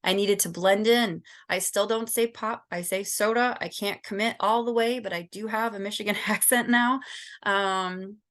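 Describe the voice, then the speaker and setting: A woman's voice: mid-range voice, steady tone, normal speed, a bit bright. A young woman from Southern California giving a class presentation, informal and full of ums and likes. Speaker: student presenter.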